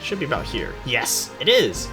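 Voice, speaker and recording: A man's voice: mid-range voice, huge pitch swings, normal speed, over music. A man giving rambling, irreverent, slangy commentary on game cutscenes, with a sarcastic streak. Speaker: video host commentator. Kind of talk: rambling joking commentary over game cutscenes, acting out dialogue in skit voices.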